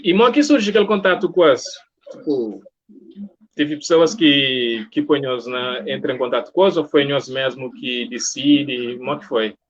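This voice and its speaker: A man's voice: mid-range voice, moderate pace. A man with a Cape Verdean accent conducting a casual interview in an encouraging, conversational way. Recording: dull lo-fi audio.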